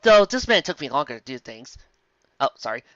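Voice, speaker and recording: Casting: theatrical, mocking fanfic narrator, mid-pitched with a sharp forceful edge, on a slightly muffled close-sounding mic. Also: big pitch swings, a little fast, dry recording. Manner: theatrical, exclamatory, sarcastic asides. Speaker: host of a fanfic reading show. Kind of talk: comedic dramatic reading with mocking commentary.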